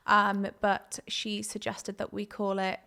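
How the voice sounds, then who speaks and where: A woman co-hosts a relaxed podcast chat. Her voice has a gravelly fry at the ends of phrases. She laughs easily and keeps breaking off and restarting her sentences. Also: mid-range voice, takes it slowly. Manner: chatty, laughs often, self-interrupting. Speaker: podcast co-host. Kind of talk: casual chat.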